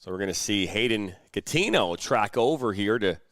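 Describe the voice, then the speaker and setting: A man's voice: lowish voice, animated, moderate pace, fairly bright tone, no room sound. A man doing live play-by-play commentary on a youth soccer match, chatty and joking between calls. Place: outdoor soccer field broadcast.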